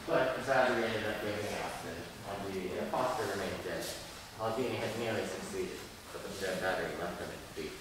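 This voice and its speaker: A man's voice: fairly low pitch, quick pace, lightly acted. A teenage boy playing an eager young poet telling tales of science in an amateur school stage drama. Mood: dramatic. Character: Percy Shelley, young male poet, eager about science. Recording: echoey hall from far off, noisy.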